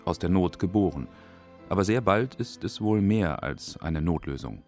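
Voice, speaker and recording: A man's voice: low-pitched, animated, normal speed, darkish tone, faint music behind. A man narrating a scripted radio documentary in short, factual phrases.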